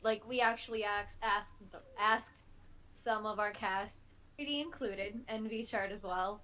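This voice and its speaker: A woman chatting casually on a panel, speaking in a halting, stop-and-start way. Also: mid-range voice, fairly even intonation, sharp tone. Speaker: audio drama creator on a reaction panel. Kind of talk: casual chat.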